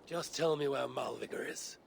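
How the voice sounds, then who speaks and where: An actor playing a self-assured, stern and wry Norse god with a gravelly voice, in a video game cutscene. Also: male voice, medium pitch, moderate pace, slight background noise. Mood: stern, commanding.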